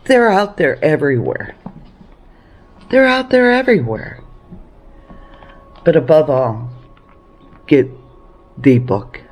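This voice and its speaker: A woman talking casually to camera about practical living as a homesteading vlogger, encouraging and emphatic, with deliberate pauses. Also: sits low, lively intonation, a little mellow. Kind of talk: casual preparedness talk to camera.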